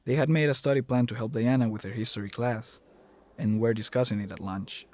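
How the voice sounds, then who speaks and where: A man's voice: mid-range voice, steady tone, a little fast, darkish tone. A man reading scripted third-person narration for a dramatic audio story.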